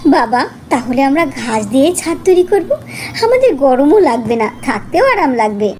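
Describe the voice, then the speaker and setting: High, lively little village girl, acted by a woman. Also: normal speed. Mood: eager. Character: eager little village daughter pleading with father. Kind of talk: cartoon fairy tale voice acting.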